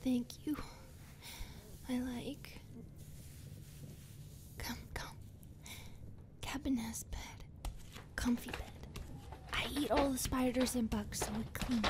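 A woman playing a clingy, loving skinwalker monster girlfriend in an ASMR roleplay, speaking soft, halting broken English and often whispering. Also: on the high side, steady tone. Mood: cozy, affectionate. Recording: clear background ambient noise.

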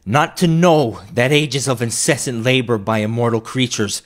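A man's voice: medium pitch, normal speed, voice pushed up, natural voice. A man voicing the anguished, remorseful ghost of a dead businessman in a storybook reading, declaiming in a solemn wail. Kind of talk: dramatic classic storybook reading.

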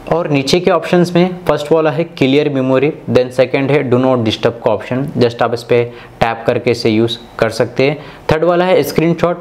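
Man, mid-pitched tutorial narrator. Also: a little mellow. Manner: instructional, step-by-step. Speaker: tech video host.

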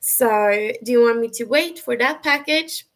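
A young woman from Sweden with a Swedish accent and a high, feminine voice, chatting casually with viewers on a webcam livestream from her bedroom, full of fillers and restarts. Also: unhurried. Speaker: livestream host. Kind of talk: casual live chat answering viewers. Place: bedroom at home, indoors.

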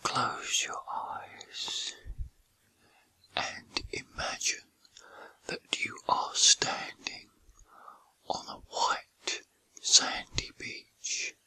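A man whispering a guided meditation in a soothing, gentle, breathy ASMR style with unhurried pauses. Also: low-quality recording.

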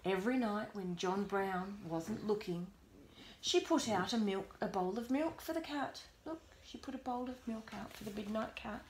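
A woman reading a bedtime storybook aloud to a young child in an expressive, deliberate way, pausing to prompt. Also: mid-range voice. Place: small bedroom at home. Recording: roomy echo, background noise, clicks.

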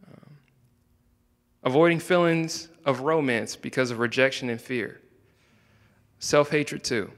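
A young man, mid-pitched and soft-spoken, reads his own spoken word poems indoors. He holds a handheld microphone, and his voice trails off into a long hall reverb. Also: slow pace, long pauses. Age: young adult. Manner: soft-spoken, hesitant between poems, with filler ums. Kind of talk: spoken word poetry reading. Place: indoor room, standing by a wall.

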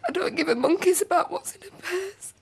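A grieving young woman who pleads through tears. Her voice is very high and slow, with soft, breathy whispers. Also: expressive, full character voice. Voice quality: tearful, pleading. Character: grieving young woman whose mother has died.

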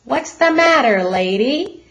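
A woman's voice: high-pitched, lively intonation, slow pace, noisy background, voice pushed up, full character voice. A woman voicing a brash, mocking policeman in a storybook, blunt and emphatic.

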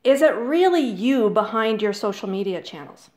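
A woman's voice: a little high, animated, normal speed, darkish tone. A woman blogger interviewing a guest in a bubbly, eager way, laughing often. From Canada. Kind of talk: casual interview.

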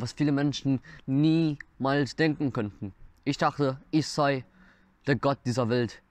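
A man's voice: mid-range voice, no echo. A nineteen-year-old man from Iran, sharing a personal testimony in earnest, slightly non-native German while seated before a camera indoors. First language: Persian. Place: indoors, dark wooden wall behind. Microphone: camera in front, seated mid-shot.

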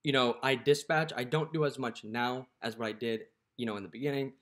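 A man's voice: medium pitch, steady tone, fairly quick, fairly bright tone. A young man, a dispatcher, talking casually to the camera through an app walkthrough, with lots of "you know" fillers, recorded close up in a dim indoor room.

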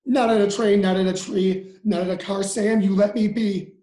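A man's voice: high voice, steady tone, moderate pace, warm tone, lightly acted. Storybook role: grumpy, stubborn creature performed by a man, exasperated, emphatic rhymed delivery, roomy sound.

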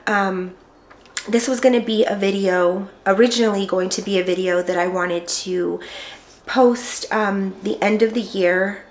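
A woman in her thirties with an American accent, hoarse from being sick, chatting about her handbag collection in a small room, with lots of ums and gushing. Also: mid-range voice, steady tone, unhurried. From Texas. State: raspy from illness. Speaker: handbag vlogger. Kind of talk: casual collection show-and-tell. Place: small indoor room against a plain wall.

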